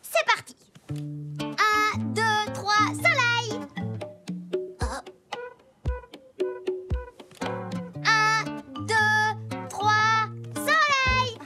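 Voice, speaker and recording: A woman voicing a lively, mischievous little schoolgirl in a children's cartoon, with a perky, spirited delivery. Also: extremely high pitch, drawn-out words, music in the background, heavily acted. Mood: excited, indignant.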